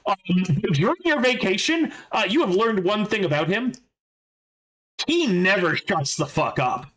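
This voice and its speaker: A man with a high voice runs a tabletop game, narrating in a loose, halting way. He strings his thoughts together with plenty of fillers and restarts. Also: big pitch swings, fairly bright tone. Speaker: game master.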